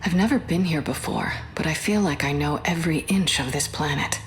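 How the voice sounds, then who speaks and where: An actress playing a composed, resolute battle heroine in a video game, cool and serious. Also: female voice, sits low, fairly quick, a little hiss or hum. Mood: pensive. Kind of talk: video game character dialogue.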